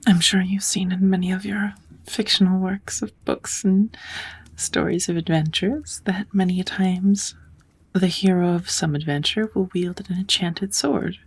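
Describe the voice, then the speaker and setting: A woman playing a kind, patient witch who teaches magic in an ASMR roleplay, speaking softly and often in a whisper, in a gentle, measured, encouraging way. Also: lowish voice, steady tone. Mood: patient, explaining. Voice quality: soft, breathy, often whispered.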